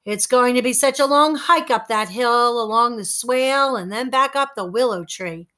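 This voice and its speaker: A polite, earnest little boy, as a woman storyteller puts him on, speaking apologetically and a touch wistfully. The voice stays fairly high and gentle. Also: moderate pace.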